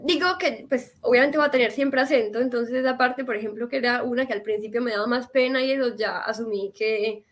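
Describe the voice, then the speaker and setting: A woman's voice: on the high side, fairly quick, hardly any pauses. A woman from Colombia with a Colombian accent, a guest recounting her experience in a casual interview over a video call, talking with many fillers and restarts. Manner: animated storytelling with fillers and restarts.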